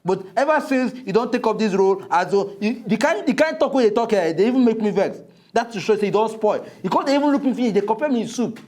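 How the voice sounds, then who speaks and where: Nigerian male co-host, quick bantering talker, slightly high voice. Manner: animated, swings from hushed mock calm to loud protest. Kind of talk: bantering Pidgin talk-show chat.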